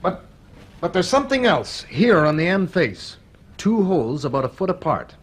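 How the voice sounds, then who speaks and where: A man playing a keen, restless young student in a radio drama, talking informally in excitable, slightly hesitant bursts. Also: sits high, moderate pace, slightly rough, heavily acted. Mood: eager, excited. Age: young adult. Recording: faintly noisy radio drama recording.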